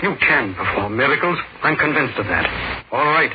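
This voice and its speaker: An actor playing an intense, commanding doctor in 1940s radio drama, speaking in an insistent, emphatic, theatrical way. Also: male voice, mid-range voice, expressive, normal speed, lightly acted. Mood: urgent, insistent. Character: intense, commanding male doctor urging on a miracle worker. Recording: dull, very noisy old 1940s radio recording.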